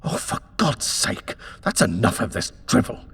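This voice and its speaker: A man's voice: on the low side, big pitch swings, a little slow, a bit bright, breathy edge, in a large hall, full character voice. A man voicing an arrogant, short-tempered house-buyer in a storybook reading, imperious, scornful and exasperated.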